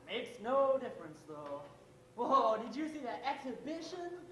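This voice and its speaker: A man's voice: very high voice, very animated, unhurried, pushed and bright, heavily acted. A wry, stubbornly sure-of-himself teenage boyfriend acted by a young man. The amateur play is echoey, with faint hiss behind. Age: young adult. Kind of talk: amateur stage play acting.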